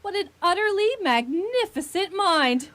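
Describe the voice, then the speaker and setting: A woman playing a haughty, excitable, brilliant detective in an imperious, theatrical way for a live radio comedy. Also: very high-pitched, a little slow, dry recording, full character voice. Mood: smug admiration.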